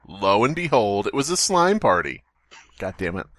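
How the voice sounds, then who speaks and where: A man's voice: lowish voice, sing-song, moderate pace, a bit bright, dry recording, studio quality. A man co-hosting a podcast with casual, profane, sarcastic comedic banter. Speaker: podcast co-host.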